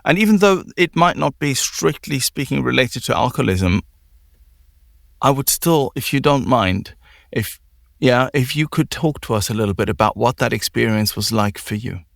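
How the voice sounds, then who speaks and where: Gentle man hosting, many restarts. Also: mid-range voice, normal speed, creaky, dry recording, studio quality. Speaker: podcast host. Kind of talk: empathetic interview.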